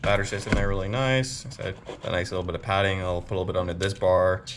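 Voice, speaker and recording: A man with a very low voice talks through a home motorcycle build in a loose, unhurried way, pausing often. The recording carries clear background noise from the workshop. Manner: relaxed, many "uh" pauses. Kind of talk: casual DIY build walkthrough.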